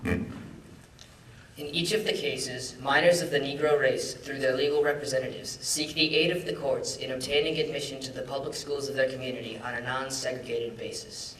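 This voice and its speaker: A man's voice: medium pitch, steady tone, normal speed, a bit bright. A young man, a student, reading a legal text formally. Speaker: student reader. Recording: distant echoey hall, faint noise.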